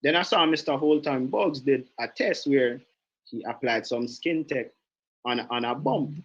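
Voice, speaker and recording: A man's voice: mid-range voice, unhurried, highs cut off. Jamaican man leading a chatty call. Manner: chatty, repeats himself, goes off on tangents. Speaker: sales team leader giving a training. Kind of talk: casual online training call.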